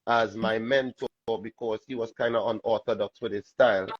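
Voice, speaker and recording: A man with a Trinidadian accent and a raspy voice, telling animated stories as a guest in a casual interview. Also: lowish voice, moderate pace, muffled recording. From Trinidad and Tobago. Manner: animated storytelling, laughs often. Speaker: soca artist guest.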